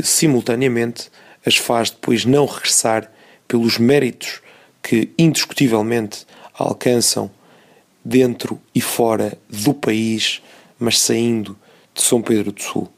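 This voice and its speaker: A man with a European Portuguese accent reads a scripted opinion commentary in formal, measured, short phrases. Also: mid-range voice, vocal fry, darkish tone.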